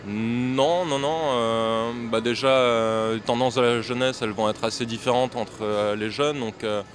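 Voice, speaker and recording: Young man amid clear street noise. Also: medium pitch, fairly even intonation, a little slow. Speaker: street interviewee. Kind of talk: casual, halting opinion in a street interview. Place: outdoors on a city street.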